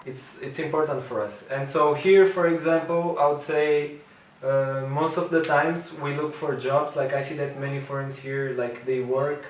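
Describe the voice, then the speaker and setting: A man's voice: fairly high pitch, steady tone, normal speed. A man in his twenties whose first language is Portuguese, an international student talking casually in an interview, hesitant with many fillers and restarts. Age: 20s. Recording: echoey room, distant mic, faint ambience.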